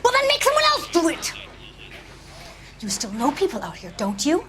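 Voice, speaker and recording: A furious, demanding caller. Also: female voice, high-pitched, wildly swooping pitch, moderate pace, very noisy. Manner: commanding and threatening. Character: demanding, furious woman on a phone call.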